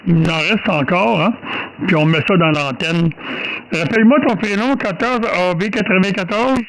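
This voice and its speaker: A man's voice: sits high, normal speed, a bit bright, rough recording. A man with a Québécois accent chatting casually as a ham radio operator, rambling with many fillers. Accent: Québécois French. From Quebec. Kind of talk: casual radio contact chat.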